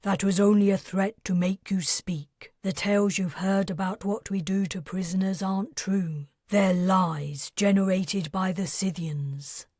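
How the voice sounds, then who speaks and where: A woman voicing a stern male rebel leader who interrogates a prisoner in a firm, measured, accusing tone. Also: medium pitch, fairly even intonation, darkish tone, no room sound, clean studio sound.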